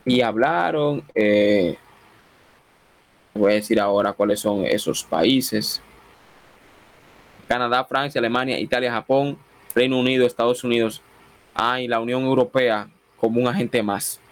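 Dominican man preaching on radio. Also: medium pitch. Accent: Dominican Spanish. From Dominican Republic. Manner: fervent preaching with exclamations like amen and glory to God; leads a repeat-after-me prayer in a slow, solemn cadence. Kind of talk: sermon and closing prayer on a radio show.

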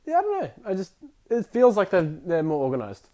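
A man's voice: high voice, huge pitch swings, brisk, dark tone. An Australian man co-hosting a podcast, chatting informally with friends. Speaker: podcast co-host. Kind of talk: casual banter among friends.

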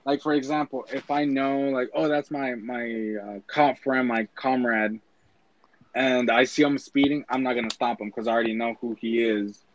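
A young man chats casually about life at work, rambling and leaning on bro and dude. His pitch sits in the middle, and the recording sounds dull and lo-fi. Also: steady tone, moderate pace. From Mexican family. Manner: rambling, filler-heavy, says bro and dude. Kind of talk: casual chat.

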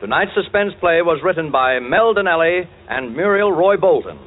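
A man's voice: on the high side, animated, normal speed, a little hiss or hum. A man making formal announcements in the style of 1940s radio. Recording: tinny vintage radio broadcast over music.

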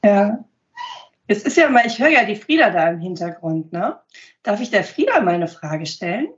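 A woman hosting a podcast interview, hoarse from a cold, asking encouraging questions. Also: mid-range voice, big pitch swings, moderate pace. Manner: warm, encouraging questions with many affirming hums. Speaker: podcast host. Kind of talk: casual interview chat. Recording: dull lo-fi sound.

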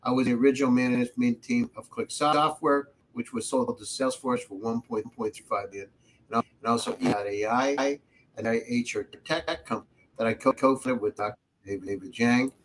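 A man's voice: medium pitch, fairly even intonation, a little fast, poor audio. A man hosting an interview over a video call, often repeating and restarting words as he asks questions. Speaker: podcast host.

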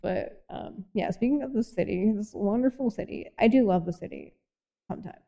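A woman's voice: medium pitch, normal speed, gravelly, dark tone. A young woman from Boston with a light Boston accent, hosting a casual podcast chat and rambling with many fillers. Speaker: podcast host.

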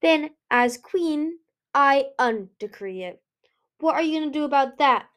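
A haughty villainous older dragon queen from a fantasy storybook, acted by a woman. The voice is high and airy, drawling with mocking confidence. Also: lively intonation, measured pace. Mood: smug menace.

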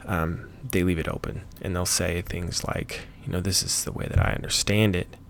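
A man speaks slowly in a low, gravelly voice, musing aloud on a solo podcast. He rambles thoughtfully and stops to correct and rephrase himself as he goes. A faint noise sits under the recording. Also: fairly even intonation, fairly bright tone.

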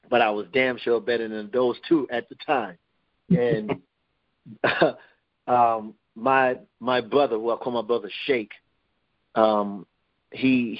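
Rambling New man, muffled line. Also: mid-range voice. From New York. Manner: rambling with many restarts. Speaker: guest. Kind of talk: casual interview talk.

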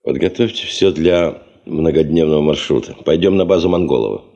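An actor playing a shrewd, imperious geology chief in a radio drama, musing deliberately to himself and giving orders. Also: male voice, rumbling low, mellow. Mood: commanding. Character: shrewd, commanding male geology chief brooding over gold. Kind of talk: radio drama, inner monologue and dialogue.

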